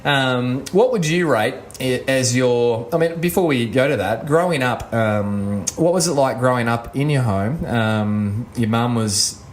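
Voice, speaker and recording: A man's voice: mid-range voice, a little slow, hardly any pauses, a little hiss or hum. A man hosting a podcast interview, asking long, rambling questions full of fillers. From Australia. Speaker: podcast host.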